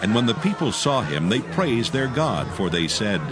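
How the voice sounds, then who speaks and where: A man narrating scripture from a script in a solemn, measured storytelling manner. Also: medium pitch, sing-song, a crowd in the background. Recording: clear music under the voice.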